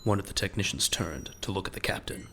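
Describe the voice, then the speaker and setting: A man narrating a scripted audio drama in a measured, dramatic, gravelly voice. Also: deep voice, bright, forceful tone, a little hiss or hum.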